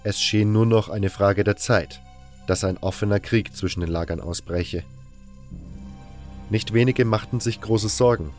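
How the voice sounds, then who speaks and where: Casting: male audiobook narrator, lower register, scripted first-person fantasy storytelling over soft music. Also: steady tone, unhurried.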